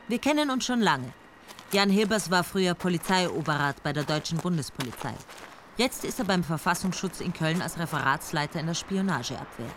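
An actress playing a determined police chief in a radio drama, narrating in first person with a brisk, probing manner. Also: female voice, fairly low pitch, lively intonation, noisy background, natural voice. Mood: calm. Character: determined female police chief, sharp and commanding.

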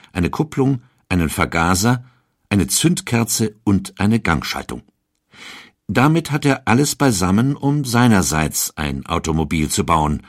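A man's voice: sits low, dry recording, clean studio sound. A man narrating a scripted documentary.

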